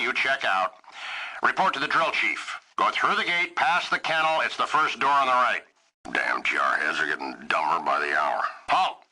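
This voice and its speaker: A gruff military man guarding a base, officious and irritable. His voice is very sharp and forceful, swinging widely in pitch as he barks out clipped orders and bullies whoever stands before him. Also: full character voice, poor audio. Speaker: military gate guard and sergeant. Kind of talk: dramatic video game voice acting.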